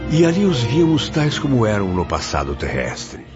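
A man's voice: mid-range voice, huge pitch swings, moderate pace. An actor with a Brazilian accent playing a troubled male spirit who recounts his memories in dramatic, literary radio narration. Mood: sorrowful, appalled. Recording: dull lo-fi audio under loud music.

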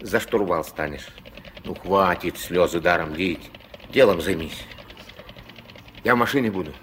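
An actor playing a gruff, terse old riverboat skipper and grandfather in a 1970s Soviet radio drama, giving orders in colloquial speech. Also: a little slow, a bit bright. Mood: firm, instructing. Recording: noisy old mono radio play, engine rumble.

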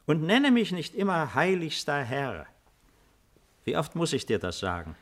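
An actor playing an old retired Roman emperor, weary, dry and authoritative, in a 1950s German radio drama. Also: male voice, a little high, huge pitch swings, measured pace, full character voice. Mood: wry, weary. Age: older.

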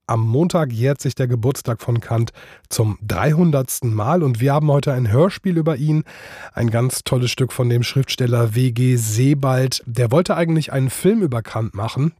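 A man's voice: medium pitch, warm tone, slightly rough, no echo, clean studio sound. A man hosting a radio show, giving a conversational introduction.

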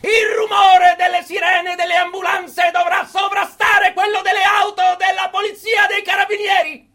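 An actor playing a fanatical political orator in a theatrical radio monologue, emphatic and full of dramatic pauses. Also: male voice, very high voice, little pitch movement, sharp tone, shouting, some chatter behind. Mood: frenzied, shouting. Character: fanatical male political orator urging brutal repression.

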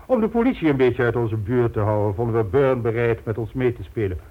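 An actor in a radio play voicing a suave, ruthless criminal mastermind, smug and polished, coolly condescending. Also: male voice, medium pitch, animated, fairly quick, lightly acted. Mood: smug, businesslike. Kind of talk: Dutch radio play acting. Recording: dull lo-fi old radio recording.

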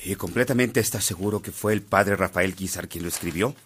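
An actor playing a stern, unyielding bishop who speaks with curt authority in a radio drama. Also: male voice, deep voice, fairly quick, a bit bright, slight background noise. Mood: grave threat.

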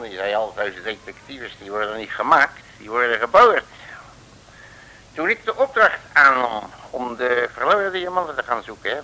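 An actor playing a bluffing, self-important detective with breezy colloquial talk in an old comic radio serial. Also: male voice, medium pitch, sharp tone, poor audio. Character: cocky, bluffing, folksy male detective. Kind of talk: 1960s Dutch comic radio serial acting. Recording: hissy old radio recording.

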